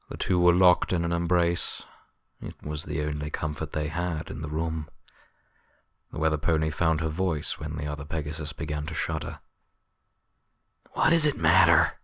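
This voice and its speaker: Low-pitched man narrating a story. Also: a bit bright, dry recording, clean studio sound. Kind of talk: scripted dramatic story narration.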